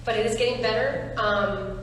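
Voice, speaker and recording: A woman casting director speaking earnestly on a panel, pausing with fillers and restarting her thoughts. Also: on the high side, fairly even intonation, fairly bright tone, a little hiss or hum. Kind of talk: panel discussion. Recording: echoey hall, far from mic.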